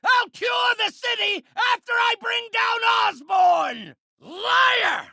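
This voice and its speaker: Older genius scientist villain, bitter, vengeful, theatrical, precise diction, husky, dry studio sound, video game acting. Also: male voice, low voice, animated, measured pace, fairly bright tone, no room sound, a faint crowd, exaggerated voice. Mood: enraged, ranting. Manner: theatrical, precise, erudite delivery. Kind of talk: video game voice acting, dramatic isolated lines.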